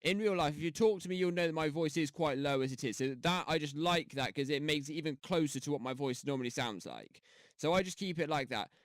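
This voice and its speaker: A man's voice: fairly high pitch, a little fast, dry recording, studio quality. A man hosting a casual audio-editing tutorial on a headset mic, rambling with fillers and restarts. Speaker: YouTube tutorial host. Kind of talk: casual screen-recorded tutorial. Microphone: gaming headset mic.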